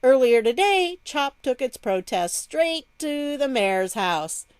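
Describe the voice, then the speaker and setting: Woman, American accent, higher voice, wry informal commentary host, reads articles aloud, very dry recording. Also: sing-song, slow, clear, resonant tone, no echo. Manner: wry, chuckling, informal asides. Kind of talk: casual news commentary, reading articles aloud.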